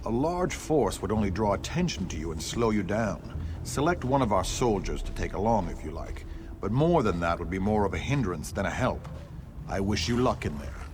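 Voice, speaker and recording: A man's voice: lowish voice, sing-song, moderate pace, noisy background. A man voicing a resolute, honorable guild commander in a video game, firm and formal. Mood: firm resolve.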